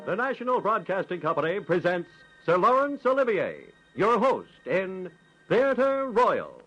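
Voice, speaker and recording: Grand old radio announcer. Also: male voice, high-pitched, huge pitch swings, a little slow, lo-fi sound, loud music behind the voice.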